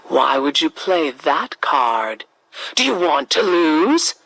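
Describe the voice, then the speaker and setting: A woman's voice: deep voice, very animated, slow, creaky, fairly bright tone, dry recording, pitched below the performer's own voice, full character voice. A woman playing an imperious, suspicious villain queen in a children's storybook, commanding and menacing. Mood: angry, suspicious. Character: imperious, suspicious Queen of Hearts, quick to threaten.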